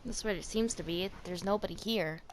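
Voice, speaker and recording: A woman's voice: mid-range voice, fairly quick, background noise. A woman streamer giving casual reaction commentary while playing a video game. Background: game music.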